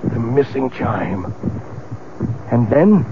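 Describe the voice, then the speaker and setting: A man's voice: mid-range voice, big pitch swings, noisy background. An actor playing an aging, dreamy clockmaker who tells his strange tale in dramatic, suspenseful 1970s radio drama style. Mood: excited, suspenseful. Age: older. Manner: dramatic, suspenseful storytelling with deliberate phrasing. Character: aging dreamy clockmaker, obsessed and secretly excited. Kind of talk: 1970s radio drama acting and first-person narration. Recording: muffled 1970s radio broadcast, music behind.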